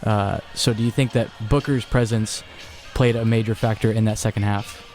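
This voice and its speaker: A man's voice: lowish voice, steady tone, normal speed, a little mellow, faint music behind. Upbeat young male college radio DJ. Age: young adult. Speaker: college radio DJ and postgame host. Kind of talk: radio DJ patter and postgame talk.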